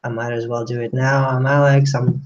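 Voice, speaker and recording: Twenties Russian-born male entrant, flat delivery, lots of fillers, lo-fi sound. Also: medium pitch, moderate pace. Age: 20s. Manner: hesitant, many fillers. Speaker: contest entrant. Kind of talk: casual video call chat. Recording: dull lo-fi call audio.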